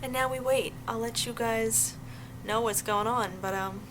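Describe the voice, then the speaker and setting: A young adult woman chatting casually to her viewers in an informal, animated way with slangy asides, as a vlogger sharing advice. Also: fairly high pitch, unhurried, background noise. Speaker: vlogger giving application advice.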